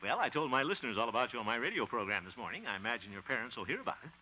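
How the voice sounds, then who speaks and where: An actor playing the fussy, easily exasperated lead of a 1950s radio sitcom, who chuckles often. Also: male voice, medium pitch, sing-song, racing, pushed and bright. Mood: earnest. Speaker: lead of the show, radio host character. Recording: muffled hissy 1950 radio transcription.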